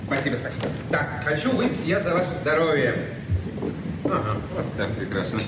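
A man's voice: on the high side, big pitch swings, a little fast. An actor playing a courteous, eager male latecomer at a party in a Soviet radio play, speaking reassuringly. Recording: very noisy, roomy, distant 1970s radio recording.

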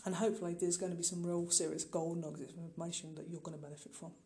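Middle-aged podcast host, mellow dark tone, medium pitch, informal solo monologue, earnest, emphatic, direct address. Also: flat delivery, racing. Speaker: podcast host and online marketing business owner.

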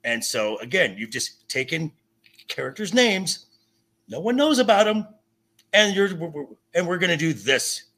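A man with a bright, forceful and somewhat high voice that has a raspy edge. He argues like an indignant podcast guest, hammering points home and tripping into stammered repetitions. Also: sing-song, normal speed. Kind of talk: heated debate about comic adaptations.

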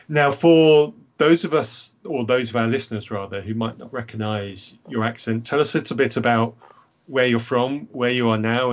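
A man hosting a podcast, politely putting measured questions to a guest in a conversational way. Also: mid-range voice, highs cut off. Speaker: podcast host. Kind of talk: hosting and interviewing.

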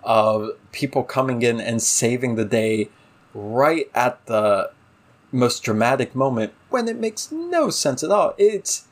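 A man with a medium-pitched voice talks casually as a review show co-host. He is opinionated and emphatic, ranting in exasperation and throwing out rhetorical questions. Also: sing-song, unhurried. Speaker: podcast co-host reviewing a TV series. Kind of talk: casual opinionated episode discussion.

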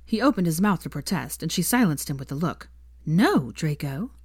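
A woman's voice: deep voice, animated, fast, slightly rough, no room sound, clean studio sound. A woman reading fiction aloud as a narrator, in scripted storytelling style. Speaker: fan fiction reader, narrator.

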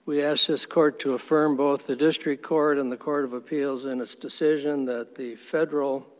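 Man, appellate attorney, formal, respectfully firm, average pitch, courtroom reverb tail. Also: fairly even intonation, normal speed. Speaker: attorney for the respondent. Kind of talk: appellate oral argument.

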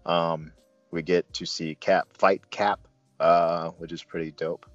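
Low-voiced man casually reviewing a movie. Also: flat delivery, slow pace, no echo, lo-fi sound. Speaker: film review host.

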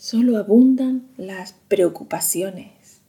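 A woman guiding a meditation in a soothing, hushed manner, leaving long pauses between short phrases. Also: medium pitch, vocal fry. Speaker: meditation guide. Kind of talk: slow guided meditation narration.

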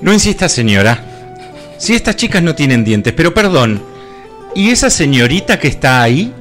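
An actor with an Argentine accent playing a deferential, excitable aide to a prince in a comic radio play. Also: male voice, fairly high pitch, moderate pace, over music. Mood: dutiful. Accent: Argentine, Rioplatense. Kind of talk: comic radio theatre parody.

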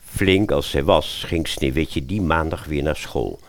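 A man's voice: extremely low pitch, unhurried. A man narrating a satirical fairy tale as storyteller, expressively and with wry asides to the listener.

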